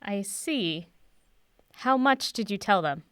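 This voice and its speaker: A woman playing a self-assured, cryptic professor in an audio drama, speaking in a measured, guarded, teacherly way. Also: medium pitch, expressive, a bit bright, smooth, no echo, clean studio sound. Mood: guarded, knowing.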